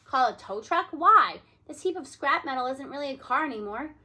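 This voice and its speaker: A woman's voice: high voice, animated, normal speed, sharp tone, full character voice. Woman reading as an emphatic, weary male teacher. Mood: dejected. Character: older male teacher, weary, sardonic, stunned by firing.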